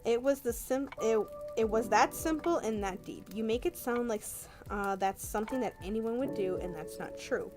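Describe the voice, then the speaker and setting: An earnest, tender-hearted young man as a woman reads him pours out his gratitude and love in a romance novel. The delivery is heartfelt, and music plays clearly behind the words. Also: a little high, moderate pace, hardly any pauses, natural voice. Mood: tender, sincere. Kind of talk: romance novel read aloud.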